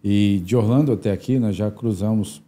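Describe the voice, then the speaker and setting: A man's voice: lowish voice, fairly quick, dark tone. A man hosting a casual interview, agreeing often and adding reflective remarks. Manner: affirming, reflective, short agreements. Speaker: host.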